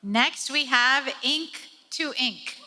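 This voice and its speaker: A woman with a Cuban accent hosts the evening, introducing each contestant in a playful, announcing way. Her voice is bright and pitched a little high, and she takes her words slowly. A long hall echo trails behind her. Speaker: event host. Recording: echoey hall.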